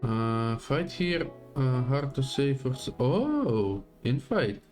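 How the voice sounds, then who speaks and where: A game streamer giving casual live commentary, thinking out loud with short reactions and reading bits of text aloud. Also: medium pitch, big pitch swings, takes it slowly, faint music behind.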